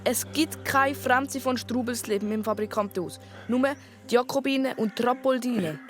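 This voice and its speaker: Woman playing an urgent, high-voiced Swiss child. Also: moderate pace, faint music behind, close to an everyday voice. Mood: worried, urgent. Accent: Swiss German. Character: anxious, urgent child twin on a time-travel adventure. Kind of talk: children's radio play acting.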